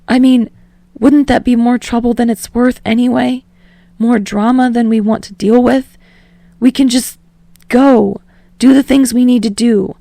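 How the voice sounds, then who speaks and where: An earnest, open-hearted young man, as a woman reader plays him, soft and eager with a light vocal fry. The tone is mellow and the sound is close and dry. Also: a little high, no echo, pitched above the performer's own voice. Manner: soft, open and eager, pleading when upset. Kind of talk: podfic reading.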